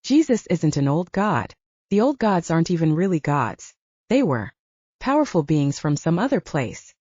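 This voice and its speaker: A serious male mentor as a woman audiobook reader plays him gives candid, persuasive advice. The delivery is expressive and dark-toned within a fictional story. The recording is dry and a bit dull. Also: on the low side, moderate pace, no echo. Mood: matter-of-fact. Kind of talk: audiobook character dialogue. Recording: dull, band-limited audio.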